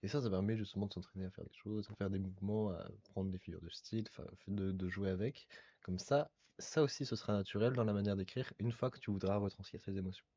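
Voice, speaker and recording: A man's voice: lowish voice, steady tone, fast talker, mellow, muffled recording. A male podcast guest who talks in elaborate, literary phrasing during a casual conversation.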